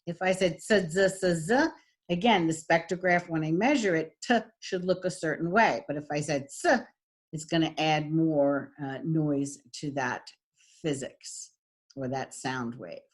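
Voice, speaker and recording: Woman lecturer, husky voice, low pitch, slow unhurried delivery, middle-aged. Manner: demonstrates voice types, lots of fillers. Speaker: voice and speech teacher. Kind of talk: recorded lecture.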